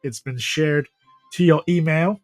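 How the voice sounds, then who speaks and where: A man's voice: a little high, unhurried, no room sound, studio quality, faint music behind. A man hosting a podcast in casual, joking chat, often repeating his own phrases. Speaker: podcast host.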